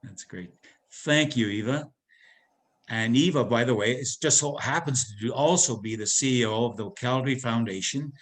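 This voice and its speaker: A man's voice: lowish voice, fairly bright tone. A man moderating a forum panel, introducing each speaker in a loose, rambling way with many restarts.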